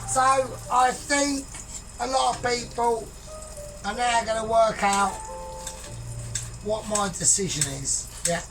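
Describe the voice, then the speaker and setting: A woman with a croaky voice, chatting casually while she cooks in her home kitchen, rambling and tagging "right?" onto her sentences as she judges a bake-off. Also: on the high side, slow pace, long pauses. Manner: rambling, keeps asking "right?", repeats herself. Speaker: home cook and vlogger. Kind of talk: casual cooking commentary, judging a bake-off. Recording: distant mic with clear music behind. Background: music.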